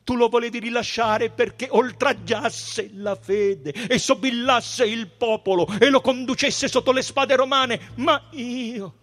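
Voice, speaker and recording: A dignified high priest of Judea from a storybook, played by a man reading aloud. The voice is pitched high, well above an everyday male register. He is solemn and deliberate, with fervent defiance in his manner. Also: a bit bright, exaggerated voice. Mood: fervent, indignant.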